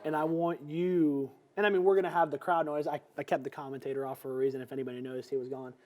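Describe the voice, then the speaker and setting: A man's voice: on the high side, steady tone, fast talker, a little mellow. A young man hosting a casual interview while gaming, asking rambling, chatty questions. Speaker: show host and interviewer.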